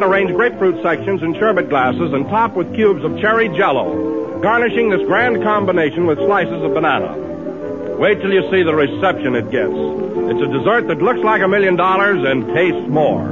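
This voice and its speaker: A man announcing and reading a live ad on a 1930s radio show, hearty and booming. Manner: hearty, booming salesman delivery. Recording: tinny 1930s radio broadcast.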